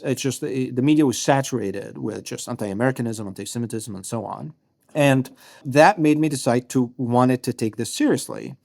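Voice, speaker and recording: A man's voice: medium pitch, a little mellow. A man in his thirties from Egypt, a guest writer giving long, reflective interview answers with frequent restarts and fillers. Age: 30s. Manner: thoughtful, many restarts and fillers.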